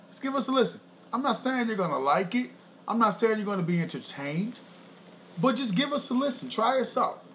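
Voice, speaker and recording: A high-pitched man chatting on a home podcast. He rambles and brags, clears his throat now and then, and a faint hiss sits under the recording. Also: fairly bright tone. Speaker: podcast co-host. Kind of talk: casual chat.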